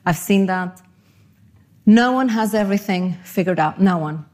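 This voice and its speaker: A woman's voice: medium pitch, a little slow, darkish tone. A middle-aged woman from Portugal and Panama giving a conversational, self-deprecating conference talk. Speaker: conference speaker.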